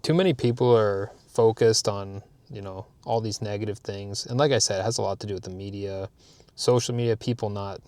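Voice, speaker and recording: A man's voice: on the low side, a little mellow, no room sound. A man in his thirties from Canada, chatting candidly in a vlog recorded in his parked car, rambling with plenty of fillers and restarts. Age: 30s. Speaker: vlogger. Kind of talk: casual talking-head vlog.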